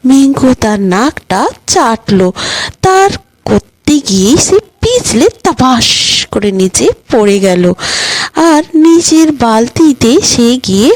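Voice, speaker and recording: A woman with a fairly high voice reads children's stories as a storyteller. Her delivery is very lively in pitch and unhurried, with each phrase set out expressively. The sound is dry and close. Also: no echo, low-quality recording. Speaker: storyteller and channel host. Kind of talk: expressive scripted narration of children's moral stories.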